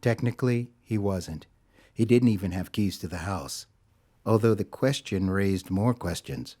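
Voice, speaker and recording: A man narrating a scripted story in a dramatic, deliberate storytelling manner. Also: lowish voice, darkish tone.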